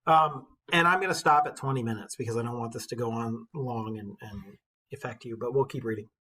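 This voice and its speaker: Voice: medium pitch, a little fast, sharp tone, a little hiss or hum, poor audio. A teacher reading a poem aloud with dramatic storytelling emphasis, breaking into casual, informal commentary.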